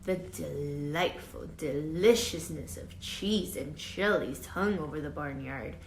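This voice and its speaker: Lady reading a kids book out loud, really expressive, echoey room w a bit of noise. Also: sits low, slow pace. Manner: expressive, with dramatic emphasis and hushed asides. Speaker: teacher reading a picture book aloud. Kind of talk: animated children's read-aloud with questions to listeners. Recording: roomy echo with faint background noise.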